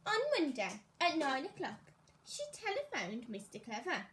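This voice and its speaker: A woman teacher reading a children's story aloud, expressively and deliberately, as if to young pupils. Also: high-pitched, big pitch swings, fairly bright tone.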